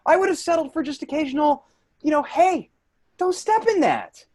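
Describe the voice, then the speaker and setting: A man's voice: very high voice, animated, normal speed, a little mellow. An embittered, defensive career man, acted by a man in a staged reading. The melody swings widely as the haunted worker pushes back against everyone. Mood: bitter, reminiscing.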